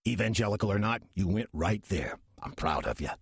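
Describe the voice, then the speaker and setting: An actor playing a slothful demon posing as a friendly churchgoer, casual, sardonic and persuasive, with a rough, husky voice in an audio drama. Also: male voice, on the low side, very animated, a little fast, no room sound, studio quality, heavily acted.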